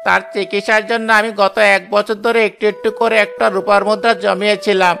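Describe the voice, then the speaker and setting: A man's voice: high voice, little pitch movement, a little fast, creaky, pushed and bright, exaggerated voice. A man voicing a brazen, scheming accuser in a cartoon tale, insistent and indignant. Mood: pleading, aggrieved. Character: brazen scheming male accuser falsely claiming a purse. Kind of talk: dramatic cartoon voice acting.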